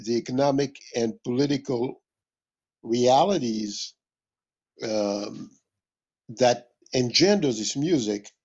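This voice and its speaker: Husky, deliberate man from West Africa. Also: medium pitch, mellow, lo-fi sound. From West Africa (Mali). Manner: deliberate, scholarly, repeats phrases for emphasis. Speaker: interviewed jazz musician and music history teacher. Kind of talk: reflective interview talk, explanatory.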